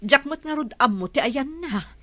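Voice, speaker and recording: A woman's voice: high voice, lively intonation, normal speed, fairly bright tone, no echo. A woman playing a worried, questioning village mother in a radio drama. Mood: anxious. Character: worried village mother longing to see her child. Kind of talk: Ilocano radio drama acting.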